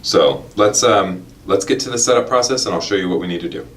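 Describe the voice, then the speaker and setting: A man with a low voice presents an informal product tutorial. He explains each step directly to the viewer in a conversational way. The recording has noticeable ambient noise behind him. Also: fairly quick, fairly bright tone. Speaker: product demonstrator.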